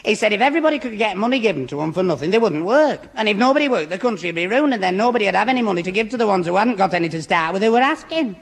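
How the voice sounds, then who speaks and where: A gullible, flustered Northern lad. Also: male voice, high voice, lively intonation, racing, few pauses. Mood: righteous. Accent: Northern English. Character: daft, gullible young man, righteous and easily flustered. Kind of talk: 1960s radio sitcom acting. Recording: vintage 1960s radio comedy recording.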